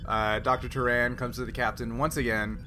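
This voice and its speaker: A man's voice: mid-range voice, little pitch movement, brisk. A man narrating as game master of a tabletop roleplaying game, in casual talk. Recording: noisy group recording, room ambience.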